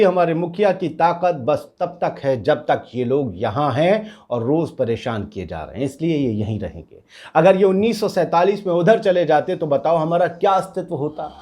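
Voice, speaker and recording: A man's voice: fairly high pitch, a little mellow, close to an everyday voice. A man acting as a cocky, cynical street agitator in a satirical drama, with brash, boastful, slangy talk that turns to menace. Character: cocky, cynical street agitator paid to stir religious hatred. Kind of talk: scripted satirical dialogue in street Hindi.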